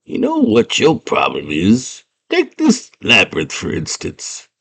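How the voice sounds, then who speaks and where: A man's voice: lowish voice, wildly swooping pitch, measured pace, gravelly, a bit bright, heavily acted. Man doing a grumpy, taunting little goblin. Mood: mocking. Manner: blunt, taunting, slangy. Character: grumpy, mocking little big-headed male goblin creature.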